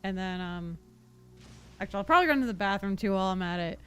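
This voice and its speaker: A woman streaming a game, chatting casually with her viewers in a rambling, expressive way. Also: mid-range voice, fast, clean tone, faint music behind.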